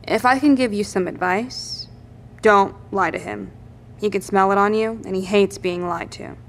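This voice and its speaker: An actress playing a helpful, matter-of-fact military lieutenant in an audio drama, speaking briskly and plainly. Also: female voice, mid-range voice, vocal fry, a little hiss or hum, natural voice. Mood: businesslike. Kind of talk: naturalistic audio drama dialogue.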